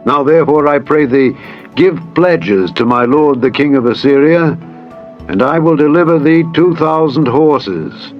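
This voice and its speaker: A man's voice: a little high, unhurried, pitched above the performer's own voice, lightly acted. A man voicing a haughty envoy of a conquering king in a dramatized scripture reading, formal, declamatory and challenging. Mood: taunting. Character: haughty Assyrian envoy taunting a besieged people. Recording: dull lo-fi audio with music.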